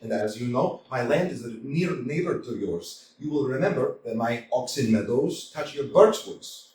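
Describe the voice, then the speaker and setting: A man voicing a nervous, pedantic young gentleman suitor in a staged reading of a stage comedy, stammering and fussily formal. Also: medium pitch, animated, moderate pace, natural voice. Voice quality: stammering, hesitant, fussy formality. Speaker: community theater actor. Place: small community theater. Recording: echoey room, from far off, faint noise.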